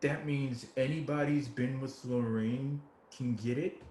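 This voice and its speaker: A man's voice: medium pitch, steady tone, measured pace, darkish tone, close to an everyday voice. Man acting a echoey, naive, anxious questioner. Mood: puzzled. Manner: credulous, inquisitive. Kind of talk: theatrical table reading of a stage play. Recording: echoey room with background noise.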